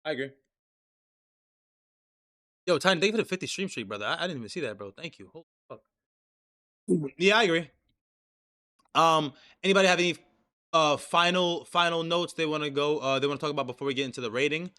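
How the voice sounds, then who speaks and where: Bright, loose young man streaming. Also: a little high, a little fast, pauses often, clear, resonant tone. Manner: casual, profane, reads chat aloud, restarts often. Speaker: stream co-host. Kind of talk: casual anime discussion stream.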